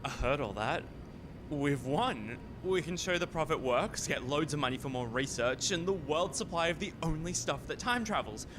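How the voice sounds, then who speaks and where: An actor plays a male scientist in a radio farce, cheeky, broke and always scheming. His pitch swings widely as he wheedles and protests. The home recording has clear noise and a low rumble. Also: normal speed, lightly acted. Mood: eager, persuasive. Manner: incredulous, wheedling, animated.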